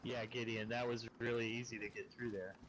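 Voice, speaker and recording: A man streaming a survival game, chatting casually with teammates in quiet, musing remarks. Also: medium pitch, flat delivery, fairly quick, noisy background. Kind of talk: casual gameplay chat.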